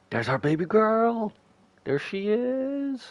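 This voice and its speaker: A man's voice: sits high, sing-song, very slow, no room sound. A man giving casual live reactions as a game streamer, slangy and irreverent, talking back to the game.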